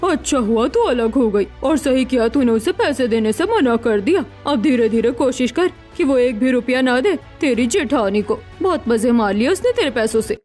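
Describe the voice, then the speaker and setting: A woman's voice: on the high side, animated, normal speed, few pauses, a little mellow, soft background music, lightly acted. A woman voicing a scheming, suspicious middle-aged mother in a dramatized Hindi story. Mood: scolding, scheming.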